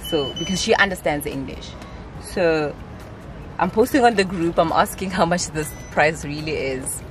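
A woman's voice: sits low, normal speed, faint music behind, low-quality recording. Chatty young woman vlogging on a lake boat. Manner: chatty, animated, exclaiming to viewers. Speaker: travel vlogger. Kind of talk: casual vlog to camera. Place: outdoors on an open lake boat. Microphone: handheld camera at arm's length.

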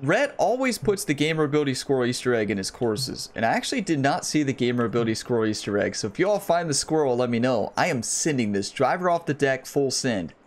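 A man's voice: mid-range voice, very animated, quick pace, hardly any pauses. A man in his thirties hosting a gaming channel, chatting casually and enthusiastically to viewers while playing golf in a home gaming room on a headset mic. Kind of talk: casual gameplay commentary.

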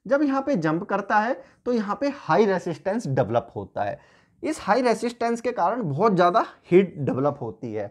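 A man in his thirties teaches an engineering topic, talking through each step as he sketches on a whiteboard. His voice swings widely up and down in pitch. He is picked up by a camera a few feet away in a small room. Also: huge pitch swings, normal speed. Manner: explains step by step, pointing and drawing while talking. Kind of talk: whiteboard lecture.

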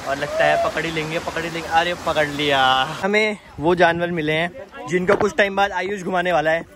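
A man's voice: fairly high pitch, steady tone, brisk, a crowd in the background. Young man teasing friends on a trail. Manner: teasing, playful joking with friends. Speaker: vlogger. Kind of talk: casual travel vlog. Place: outdoors on a hillside trail by a stream.

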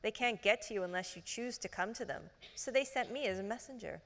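A woman reading the lines of a pale, calm young woman messenger in an even voice. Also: on the high side, a little fast, slight background noise. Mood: ominous. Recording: echoey hall reverb.

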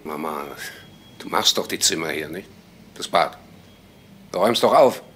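An actor playing a suspicious, insistent son in a radio crime play, husky and pressing with halting questions. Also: male voice, deep voice, animated, a bit bright, slight background noise. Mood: urgent. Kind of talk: German radio crime drama acting.

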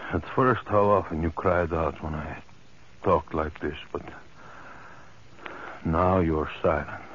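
Slow, deep-voiced obsessive killer, radio horror. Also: male voice. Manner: slow, halting, brooding delivery with heavy pauses. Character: unhinged, obsessive male murderer gloating over his captive. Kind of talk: 1940s radio horror acting. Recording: muffled, hissy 1940s radio recording.

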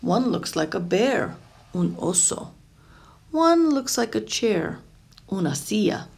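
Female storybook reader, medium pitch with noticeable fry, careful and expressive delivery for young listeners. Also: takes it slowly. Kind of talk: reading a children's picture book aloud.

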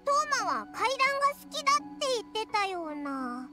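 A woman's voice: very high-pitched, sing-song, moderate pace, music in the background. A woman voicing a tiny, childlike, inquisitive fairy companion in an anime game. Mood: curious. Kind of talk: Japanese anime game voice acting.

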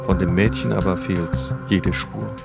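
A man with a fairly low voice narrates a true-crime documentary from a script. Music plays clearly behind him. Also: steady tone, measured pace.

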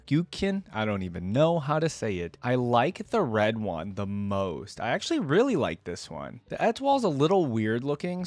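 Young man with lively, swooping intonation. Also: medium pitch, no echo. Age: young adult. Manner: rambling, with frequent "like" and "right?". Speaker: reaction video host. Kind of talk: casual reaction commentary. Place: indoors at a desk, gaming setup. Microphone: close desk microphone.

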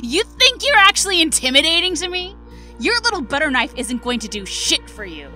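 A woman playing a foul-mouthed, aggressive young female hellhound in an animated audio drama, snarling and sarcastic. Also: high voice, fairly quick, heavily acted. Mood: scornful. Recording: loud background music.

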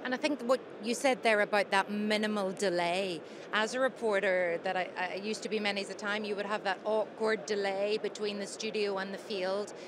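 A woman's voice: mid-range voice, steady tone, normal speed, hardly any pauses. A woman in her thirties conducting a conversational interview at a trade show. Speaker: interviewer, former reporter. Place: busy trade show hall booth. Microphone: handheld branded interview microphone. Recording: clear busy exhibition hall noise.

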